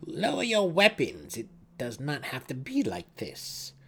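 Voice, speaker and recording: Guy doing a high voiced dark elf priestess lady, scheming, fake sweet and bossy, fantasy story. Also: wildly swooping pitch, measured pace, creaky, a little hiss or hum, heavily acted. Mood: falsely sweet. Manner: purring false sweetness, sharp commands. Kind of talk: dramatic fantasy audiobook character.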